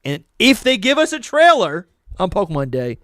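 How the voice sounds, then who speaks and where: A man's voice: high-pitched, wildly swooping pitch, moderate pace, fairly bright tone, dry recording, clean studio sound. A young man with a New England accent, casually co-hosting a podcast livestream and reading viewer comments. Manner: laid-back, reads viewer comments aloud. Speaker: podcast host.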